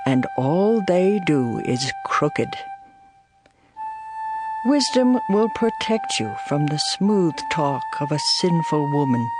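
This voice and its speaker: An actress playing Wisdom as a stern woman crying warnings in a solemn, declamatory scripture reading. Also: sits low. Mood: solemn, instructive. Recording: music behind the voice.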